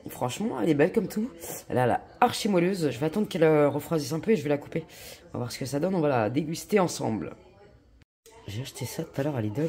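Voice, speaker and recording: A woman's voice: rumbling low, mellow. Slangy chatty young woman vlogger. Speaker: lifestyle vlogger. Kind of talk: casual vlog chat.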